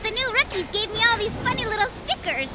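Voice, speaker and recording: A woman's voice: very high-pitched, lively intonation, fast, background noise. A woman voicing a cute, eager, childlike little robot tank in an English anime game dub. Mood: playful, excited.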